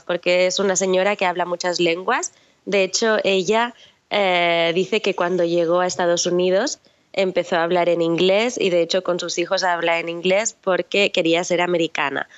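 A woman presenting a radio programme, explaining things in a relaxed conversational way with some fillers. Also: lowish voice, clear, resonant tone, dry recording. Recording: dry, dull lo-fi sound.

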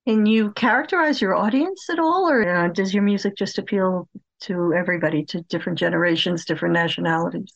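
A woman's voice: on the low side, a little fast, gravelly, muffled recording. A woman interviewer talking conversationally and putting questions to a guest.

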